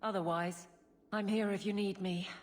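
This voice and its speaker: A woman's voice: mid-range voice, steady tone, normal speed, close to an everyday voice. An actress voicing a blunt, loyal woman guard and former soldier in a video game, plain-spoken and dry. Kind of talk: video game character dialogue.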